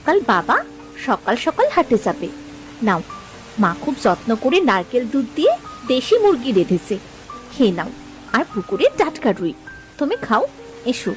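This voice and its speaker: A highish, very expressive voice for a young village wife acted by a woman in a cartoon. She is gentle, soothing and pleading over background music. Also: moderate pace, pauses often. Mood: placating. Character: gentle, placating young married daughter. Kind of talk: Bengali cartoon dialogue.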